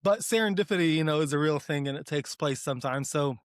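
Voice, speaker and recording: Eager guy in his 30s from texas, kinda high voice, lots of "like"s, dry close sound. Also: quick pace, clear, resonant tone, dry recording. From Dallas, Texas, US. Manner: eager, earnest, with many "like" fillers and restarts. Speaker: guest, applied research leader. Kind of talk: conversational interview.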